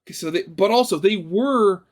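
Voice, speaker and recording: A man's voice: sits high, moderate pace. A young man hosting a reaction video, chatting casually and rambling with lots of fillers and restarts.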